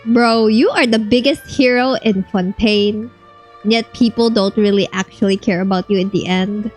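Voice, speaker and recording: A woman's voice: mid-range voice, moderate pace, a little mellow, soft background music. A woman giving casual, blunt and slangy reaction commentary as she plays a video game. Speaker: streamer playing a video game.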